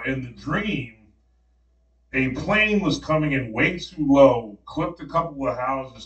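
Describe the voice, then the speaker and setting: A man's voice: mid-range voice, dull audio. A man, around his thirties, who tells stories with energy and acts out the voices of the people in them. He sits a little away from the mic, and the room gives his voice a noticeable echo. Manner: animated storyteller acting out quotes. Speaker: podcast co-host. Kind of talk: casual storytelling chat. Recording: roomy, slightly distant mic.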